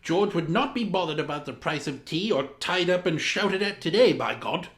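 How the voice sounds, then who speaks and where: A man narrates a children's story with grand, pompous formality. His voice sits a little high and swings widely in pitch for dramatic emphasis. The recording is roomy, with clear echo around the voice. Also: animated, moderate pace, a bit bright. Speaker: storyteller, host of a story time. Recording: roomy, echoing room sound.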